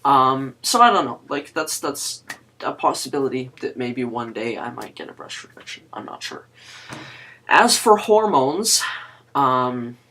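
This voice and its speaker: A young woman with a low, androgynous voice, hosting a casual video chat and talking to camera with many pauses, ums and restarts. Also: very animated, fairly bright tone. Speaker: guest host of a video chat series.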